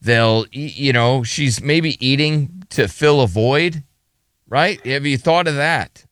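A man's voice: mid-range voice, takes it slowly, a bit bright, no echo. A male radio host in casual studio chat, earnestly giving relationship advice and asking probing questions.

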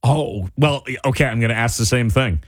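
A man's voice: medium pitch, brisk, slightly rough, no room sound, clean studio sound. A man hosting a talk radio show, chatty, curious and self-deprecating. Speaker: radio host.

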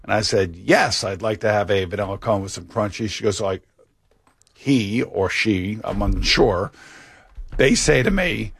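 A man hosting a casual radio talk show, telling personal stories in a rambling, halting way. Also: lowish voice, vocal fry, fairly bright tone, husky. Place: radio studio.

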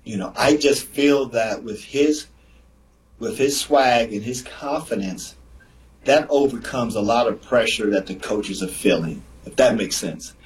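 Male guest, former player, mid pitch, animated anecdotal delivery. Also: measured pace, poor audio. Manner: animated storyteller, repeats himself. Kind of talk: casual sports radio chat.

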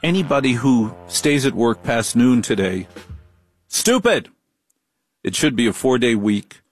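A man's voice: mid-range voice, animated, unhurried, breathy edge, faint music behind. An older man hosting a late-night radio talk show, bantering in a wry, joking way.

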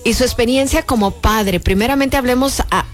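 A woman hosting a radio interview show, with a warm, upbeat announcer delivery. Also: on the high side, brisk, faint music behind. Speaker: radio host. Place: radio studio booth.

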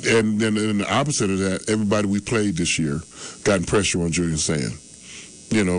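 A man's voice: on the low side, low-quality recording. A man in his early sixties grading his team's game in casual sports talk, rambling and repeating himself. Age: 60s. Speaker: sports show regular.

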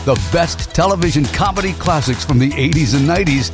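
Brief: male announcer, relaxed and playful, fairly high, music bed. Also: a little fast. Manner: laid-back, playful retro slang. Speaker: TV channel promo announcer.

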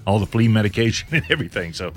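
A man's voice: lowish voice, animated, racing. A man co-hosting a morning radio show, chatting casually with an easygoing, chuckling manner. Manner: easygoing, chuckling, lots of backchannel. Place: radio studio. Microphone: studio microphone.

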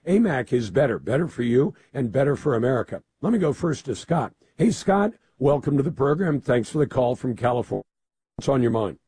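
A man with a gravelly, raspy voice hosting a live talk radio show in an emphatic, opinionated manner. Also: mid-range voice, very animated, fairly quick, warm tone. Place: radio studio.

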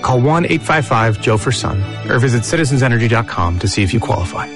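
A man reads a commercial in an earnest, reassuring tone. His voice sits in a mid range. Music plays clearly beneath the read. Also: medium pitch, animated, fast. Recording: voice over music bed.

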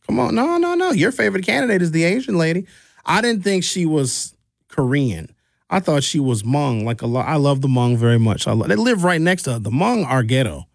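A middle-aged American man hosting a radio talk show in an informal, opinionated storytelling style, colloquial and animated, on a close studio mic. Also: on the high side, brisk, vocal fry, no room sound. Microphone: close studio microphone.

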